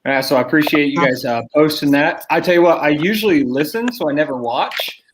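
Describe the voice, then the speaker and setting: A man's voice: fairly high pitch, a little fast, slight background noise. A man in casual, joking chat as an angler guest on a fishing podcast.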